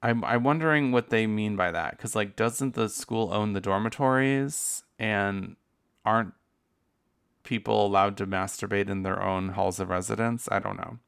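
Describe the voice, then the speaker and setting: Gravelly, smooth-voiced man hosting a podcast. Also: lowish voice, moderate pace, a bit bright. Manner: candid, profane and campy, laughing asides. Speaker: podcast host. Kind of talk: casual solo podcast monologue.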